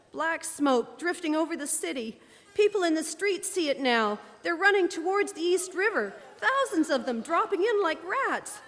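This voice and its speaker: A woman's voice: sits high, a bit bright. A woman playing a radio reporter who watches an invasion with rising dread, in a live radio drama. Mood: mounting horror. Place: live performance in a church. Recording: reverberant church hall, noisy live recording.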